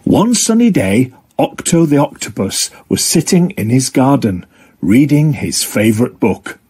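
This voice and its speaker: Male children's storybook reader: expressive, deliberate, very varied pitch, a touch of husk. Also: slightly rough. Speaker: storybook narrator. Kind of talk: scripted children's story narration.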